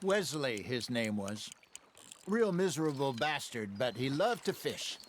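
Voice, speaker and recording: Folksy old outlaw angler, lively-toned. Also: male voice, medium pitch, a little slow. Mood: reminiscing. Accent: American. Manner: folksy, instructive storyteller. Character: seasoned old outlaw angler, genial, full of yarns. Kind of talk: video game voice acting. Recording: noisy, watery outdoor ambience behind.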